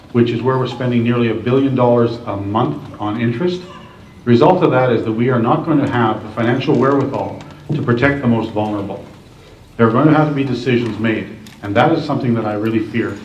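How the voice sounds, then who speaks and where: A man's voice: medium pitch, darkish tone, slight background noise. A man answering as a candidate at a public forum, earnest and repetitive, talking in lists. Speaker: political candidate. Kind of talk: debate answer. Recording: distant, dull echoey hall recording.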